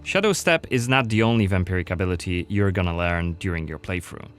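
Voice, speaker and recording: A man's voice: sits low, a little fast, soft background music. A man narrating a scripted gameplay showcase as its presenter.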